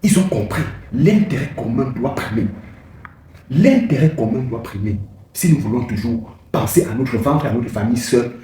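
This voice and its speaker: A man's voice: mid-range voice, animated, normal speed, dark tone, reverberant, slight background noise. A man hosting his own channel, giving an impassioned political speech with repeated phrases and emphatic rises.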